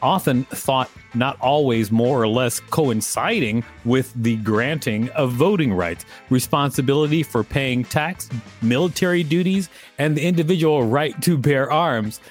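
A man's voice: medium pitch, expressive, measured pace, soft background music. Man co-hosting, dry and wry. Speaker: podcast co-host reading aloud. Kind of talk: reading an article aloud with light commentary.

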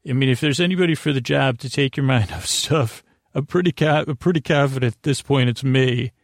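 A man hosting a bedtime podcast, talking in a lulling, meandering way, halting often and circling back over his own phrases. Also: medium pitch, no room sound, clean studio sound. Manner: meandering, halting, trails off and repeats himself; lulling, soothing delivery. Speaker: podcast host. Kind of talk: rambling bedtime monologue to help listeners sleep.

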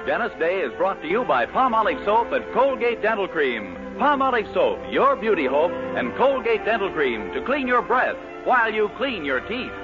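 A man's voice: sits high, big pitch swings, normal speed, hardly any pauses, over loud music. A man announcing and narrating a 1940s radio comedy in a polished, lilting announcer manner. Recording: dull lo-fi 1940s radio broadcast.

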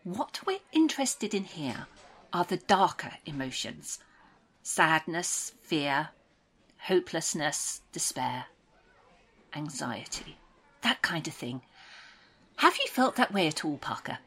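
An actress playing a probing official in a dystopian audio drama, reassuring, procedural and a little patronising. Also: female voice, low-pitched, sing-song, slow pace, pauses often, fairly bright tone, breathy edge, exaggerated voice. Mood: softly probing. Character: soothing, probing woman official of a dystopian programme. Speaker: interviewer. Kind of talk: audio drama dialogue, clinical interview.

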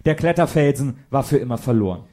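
A man's voice: medium pitch, expressive, quick pace, dark tone. A man giving dramatic scripted narration for an audio play.

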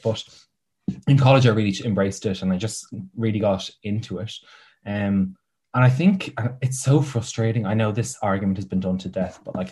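A man's voice: on the low side, mellow. A young Irish man who makes content, chatting as an interview guest with sarcastic, self-mocking humor. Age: young adult. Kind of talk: casual podcast interview.

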